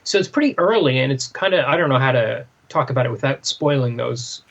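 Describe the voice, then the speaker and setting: A man's voice: mid-range voice, expressive, brisk, gravelly, a bit bright, muffled recording. A man co-hosting a casual discussion podcast, thinking aloud in broken, questioning phrases. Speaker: podcast co-host. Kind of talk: casual discussion of a graphic novel.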